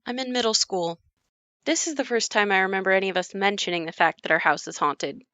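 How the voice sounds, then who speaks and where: Actress as reflective woman looking back on childhood, audio play monologue, crisp bright tone, dry recording. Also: mid-range voice, brisk, no echo, close to an everyday voice. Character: woman recalling her haunted childhood home, reflective. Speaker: narrator of her own memories.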